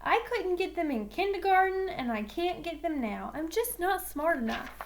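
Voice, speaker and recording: A woman's voice: very high-pitched, expressive, normal speed, gravelly, slight background noise, voice pushed up, heavily acted. A woman voicing a cocky middle school football star who protests dramatically in a children's novel read aloud. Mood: pleading.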